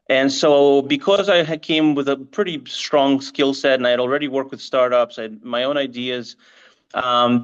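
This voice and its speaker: A man's voice: medium pitch, fairly bright tone, clean tone, highs cut off. A middle-aged man, a marketing agency head, answers interview questions thoughtfully with frequent restarts and ums. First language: English and Russian. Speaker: marketing agency CEO, guest.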